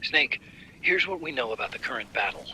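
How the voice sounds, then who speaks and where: An actor playing a support operator giving a soldier a brisk, informative mission briefing. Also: male voice, mid-range voice, expressive, background noise, natural voice. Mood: urgent.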